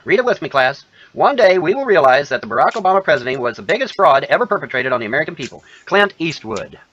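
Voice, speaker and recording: A man's voice: on the high side, big pitch swings, quick pace, fairly bright tone. A man giving a rambling, folksy commentary to his viewers, self-assured and calling them folks. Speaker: commentator in a played video clip. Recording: lo-fi dull sound.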